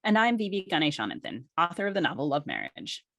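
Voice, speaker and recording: Low, lively, dry-recorded female podcast co-host. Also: fast, no room sound. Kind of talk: conversational podcast hosting.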